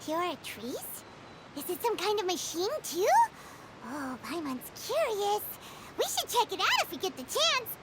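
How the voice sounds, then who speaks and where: A woman voicing a small, excitable, curious childlike fairy companion in a video game, squeaky and exclamatory. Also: measured pace, very noisy, full character voice. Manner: refers to herself in the third person, exclamatory.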